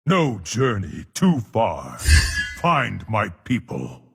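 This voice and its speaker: Proud raging beast-man warrior, gravelly, wildly swinging pitch, declamatory, game battle lines over loud music. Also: huge pitch swings, extremely slow, heavily acted. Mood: determined. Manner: emphatic, declamatory, bellowing battle cries. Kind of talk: video game character voice lines.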